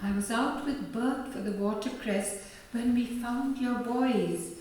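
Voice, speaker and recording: A woman's voice: a little high, takes it slowly, darkish tone. Woman acting chatty, kindly neighbour, very echoey. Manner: chatty, fussing. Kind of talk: radio play acting. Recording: echoey room, far from mic.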